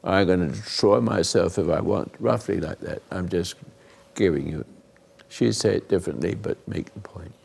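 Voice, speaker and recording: An older man hosting a talk, giving rambling, earnest moral teaching to a live group, often dropping into hushed asides. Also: low voice, moderate pace. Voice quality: gravelly, husky. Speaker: host and spiritual counselor.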